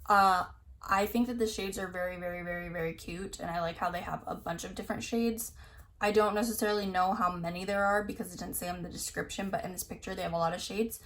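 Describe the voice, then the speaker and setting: A woman's voice: lowish voice, fairly even intonation, fast talker, fairly bright tone. A young woman chatting casually as a beauty vlogger, her voice a little scratchy from talking a lot, rambling with plenty of "like" and reading product blurbs aloud. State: slightly scratchy, tired voice. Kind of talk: casual chat reviewing new makeup releases.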